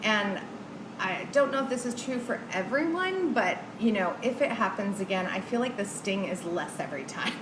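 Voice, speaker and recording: A woman's voice: mid-range voice, lively intonation, normal speed. Woman chatting casually in a noisy, echoey room. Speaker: podcast guest. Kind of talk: casual conversational chat. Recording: roomy echo, clear background noise.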